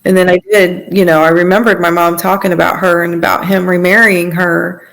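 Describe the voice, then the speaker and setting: A woman speaking as a podcast guest, giving a reflective, halting personal testimony in a conversational way. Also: fairly low pitch, little pitch movement, creaky, fairly bright tone, poor audio.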